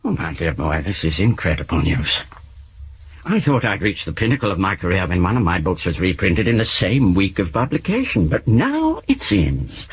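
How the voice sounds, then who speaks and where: A husky, flippant English novelist. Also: male voice, sits low, sing-song, normal speed, exaggerated voice. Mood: flippant, amused. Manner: wry, verbose, urbane, mock-courteous. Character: flippant, theatrical male novelist, ageing former communist. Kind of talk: 1970s radio drama acting. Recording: dull lo-fi 1970s radio recording.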